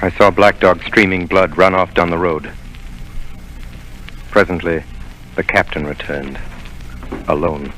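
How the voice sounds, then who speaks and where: A man narrating a 1930s radio drama as the grown hero recalling his boyhood, in a measured storytelling manner. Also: low-pitched, fairly even intonation, background noise. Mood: calm. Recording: old radio broadcast with music.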